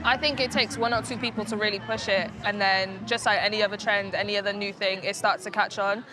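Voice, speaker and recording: A woman's voice: medium pitch, steady tone, brisk, low-quality recording. Young Cardiff woman rapper talking. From Wales. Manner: thoughtful, with fillers and restarts. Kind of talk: casual interview. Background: music at an outdoor festival.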